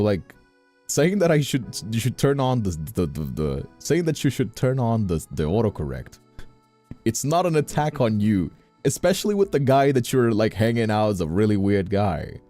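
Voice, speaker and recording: A man with a dark, mellow voice talks casually on a livestream over faint music. His pitch moves in lively swings as he rambles, stopping and repeating phrases while he works out what he means. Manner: rambling, halting, repeats himself. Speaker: streamer and content creator.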